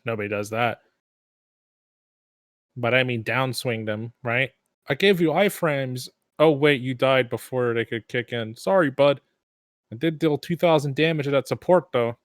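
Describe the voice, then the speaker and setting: A man's voice: mid-range voice, moderate pace, dry recording. Man in casual podcast banter, dry sound. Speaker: podcast panelist.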